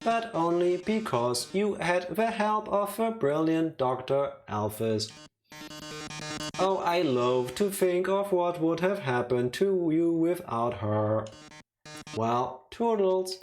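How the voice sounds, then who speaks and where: A game streamer voicing a flamboyant, smug showman villain with slow, theatrical, drawn-out delivery. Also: medium pitch, expressive, darkish tone, soft background music, voice pushed up. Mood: smug, mocking. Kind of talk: game character voice acting.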